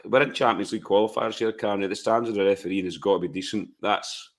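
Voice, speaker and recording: A Scottish man with a very deep voice, gone hoarse from shouting, gives his blunt take on a football match. He speaks casually and with opinion, and he asks rhetorical questions before he answers them himself. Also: fairly even intonation, quick pace. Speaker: football podcast co-host.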